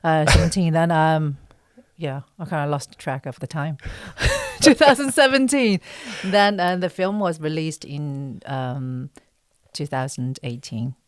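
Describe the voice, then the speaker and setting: A woman's voice: sits low, a little slow, hardly any pauses, dry recording, studio quality. A woman of around forty from China, with a Chinese accent, answering interview questions in a modest, hesitant, casual way. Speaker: guest, actress and writer.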